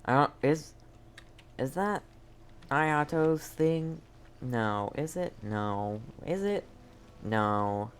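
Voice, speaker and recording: A man's voice: medium pitch, very slow, pauses often, clean tone. A man giving casual live gameplay commentary as a streamer, laid-back and musing aloud. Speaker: game streamer.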